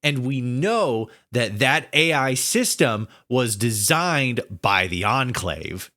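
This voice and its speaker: A man in a casual podcast conversation, speaking haltingly as he searches for words and repeats himself. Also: medium pitch, sing-song, pushed and bright. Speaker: podcast guest, audio drama writer. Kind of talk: casual chat.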